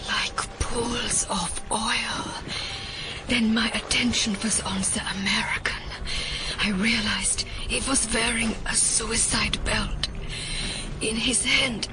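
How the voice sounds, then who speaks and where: A haunted woman scientist in a modern audio drama. Her voice sits fairly high and moves slowly, hushed and breathy, with desperate devotion to her work. Dramatic music plays clearly behind her. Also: steady tone, rough recording. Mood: haunted, shaken. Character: shaken female scientist recounting an attack, desperate and dedicated. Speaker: voice actor.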